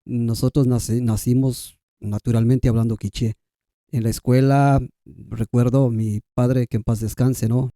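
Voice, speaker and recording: A man's voice: medium pitch, fairly even intonation, measured pace, warm tone, dry recording, clean studio sound. A Guatemalan man, a native K'iche' speaker, telling his personal story conversationally as a podcast guest.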